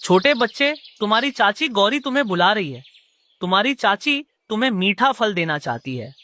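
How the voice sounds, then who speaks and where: A woman's voice: medium pitch, sing-song, normal speed, sharp tone. A woman voicing a commanding, scheming male crow in a children's cartoon. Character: gruff, menacing male crow villain husband.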